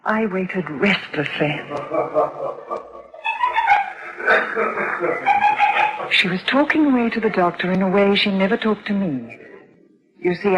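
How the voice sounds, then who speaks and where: A woman's voice: mid-range voice, lo-fi sound, heavy background noise, lightly acted. An actress playing a fretful, hesitant young mother in a radio drama, both in dialogue and narration. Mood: anxious narration. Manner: hesitant, troubled. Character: anxious, fretful young mother of a lonely girl. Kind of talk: radio drama acting and first-person narration.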